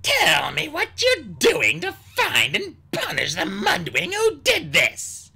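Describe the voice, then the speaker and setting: A woman voicing a guarded dragon queen with a sharp, commanding manner in a story reading. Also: sits high, huge pitch swings, drawn-out words, creaky, voice pushed up, exaggerated voice. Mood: demanding.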